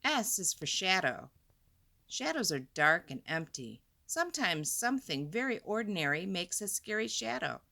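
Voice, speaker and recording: Female storyteller for kids: lively swooping delivery, clear dramatic emphasis, forward bright tone, chatty asides. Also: fairly low pitch. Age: adult. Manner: deliberate, dramatic emphasis, chatty questions to listeners. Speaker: storyteller, teacher reading to children. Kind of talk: expressive picture book read-aloud with asides.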